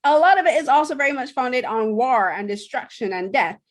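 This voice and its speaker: A woman's voice: sits high, lively intonation, a little fast. A young woman from Barbados co-hosting a podcast, chatting casually about shows she watched, with lots of fillers and restarts. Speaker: podcast co-host.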